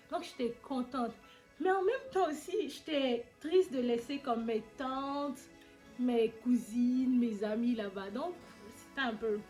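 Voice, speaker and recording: Young woman, Ivorian accent, fairly high voice, animated casual chat, soft music behind. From Côte d'Ivoire. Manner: lively, animated, quick to tease. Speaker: vlogger. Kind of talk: casual chat answering questions.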